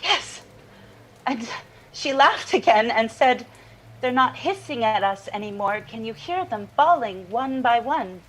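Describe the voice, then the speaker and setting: An actress playing a haunted, enraptured woman recounting a dream in a halting, wistful monologue. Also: fairly high pitch, animated, fairly bright tone. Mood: tender, wistful. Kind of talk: dramatic interview-style monologue.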